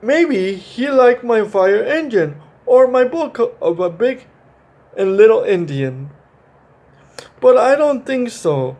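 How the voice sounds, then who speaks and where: A man voicing an imaginative, earnest little boy in a halting storybook read-aloud. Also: high voice, animated, darkish tone, pitched above the performer's own voice, full character voice. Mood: earnest, playful.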